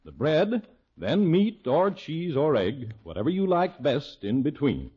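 American guy reading an old radio ad, chatty, super animated, husky voice, dull sound. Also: on the high side, a little slow. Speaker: commercial announcer. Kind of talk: chatty scripted 1950s radio advertisement. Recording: muffled old radio broadcast.

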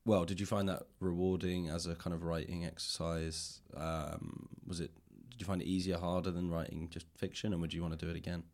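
Very low-voiced man hosting a chat. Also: level tone, brisk, hardly any pauses, darkish tone. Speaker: podcast host interviewing a guest. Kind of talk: casual chat. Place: library room.

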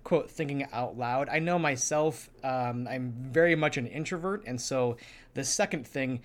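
A man's voice: medium pitch, normal speed. A man explaining things in a casual discussion as a podcast co-host.

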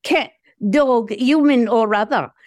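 Audio play: sweet-natured, modest she-dog, played by a woman, high voice, slow earnest delivery. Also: darkish tone, no echo. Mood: demure, sincere. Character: gorgeous, modest, gentle female Australian Shepherd dog. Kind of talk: audio drama acting.

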